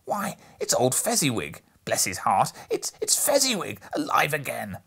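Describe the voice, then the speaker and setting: A man voicing an emotional, excitable elderly gentleman in a storybook reading, loud and exclaiming. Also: mid-range voice, normal speed, gravelly, breathy edge, voice pushed up, full character voice. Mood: astonished delight. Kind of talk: storybook audiobook character voice.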